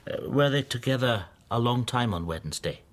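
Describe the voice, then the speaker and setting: An actor playing a mild, lonely, wronged bookseller in despair, halting and introspective in a radio drama. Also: male voice, mid-range voice, animated, close to an everyday voice. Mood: anxious, subdued. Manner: introspective, halting, talking to himself. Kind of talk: radio drama acting with interior monologue.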